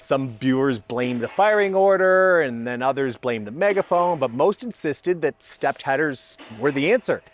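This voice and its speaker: Man presenting car tech, enthusiastic and informal. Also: medium pitch. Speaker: host of a car exhaust testing channel. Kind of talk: scripted narration and casual explainer to camera.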